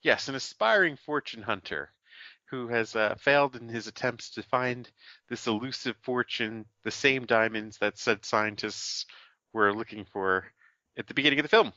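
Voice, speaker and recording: A man's voice: medium pitch, moderate pace, pushed and bright, no room sound, muffled recording. A man co-hosting a podcast, summarizing a film plot in casual chat, with many fillers and restarts. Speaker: podcast co-host.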